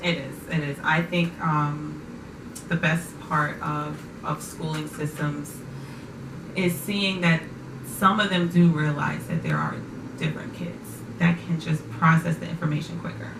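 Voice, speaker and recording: A woman's voice: deep voice, fairly even intonation, unhurried, gravelly. A woman hosting a casual talk show, often affirming and repeating her guest's words back. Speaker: podcast host. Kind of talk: casual conversation. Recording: echoey room, distant mic, noisy.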